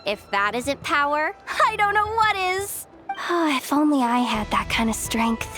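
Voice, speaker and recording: An eager young girl in a game, really high gushy voice, stammers a bit, takes her time, music under it. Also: sing-song, unhurried. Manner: gushing, breezy, slightly stammering. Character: eager, excitable young working girl, gadget enthusiast. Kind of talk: video game voice acting.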